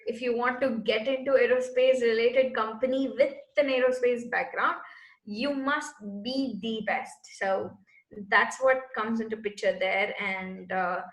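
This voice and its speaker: A woman's voice: on the high side, fairly even intonation, unhurried. A woman, earnestly explaining her path as an interview guest and searching for words as she goes. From Bangalore, India. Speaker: guest, space entrepreneur. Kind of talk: casual interview answers. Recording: echoey room.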